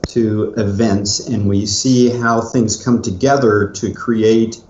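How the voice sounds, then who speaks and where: A middle-aged man co-hosting a podcast, speaking at an ordinary pitch. He explains things in a measured way, with plenty of fillers. His voice sounds roomy and a bit dull, like remote audio. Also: fairly even intonation, a little mellow, slight background noise. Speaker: podcast co-host. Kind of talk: casual conversational podcast.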